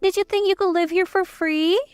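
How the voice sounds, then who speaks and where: A woman's voice: very high-pitched, fairly even intonation, normal speed, darkish tone, dry recording, soft background music, pitched above the performer's own voice. A woman voicing a cold, commanding mother-in-law of sixty-four who talks down to others. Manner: commanding, contemptuous.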